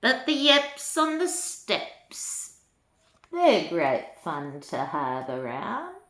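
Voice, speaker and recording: Older woman reading slowly, echoey room. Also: on the low side, huge pitch swings, fairly bright tone. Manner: unhurried, lilting, talking to young children. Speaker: grandmother reading a storybook to children. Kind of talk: read-aloud children's rhyming book with chatty asides.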